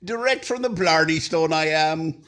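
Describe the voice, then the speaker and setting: High-pitched jolly Irish blarney talker. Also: male voice, animated, moderate pace, a bit bright. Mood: jovial boasting. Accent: put-on Irish. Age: middle-aged. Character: tippling, wisecracking Irish man, cheerful blarney talker. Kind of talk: 1940s radio comedy acting.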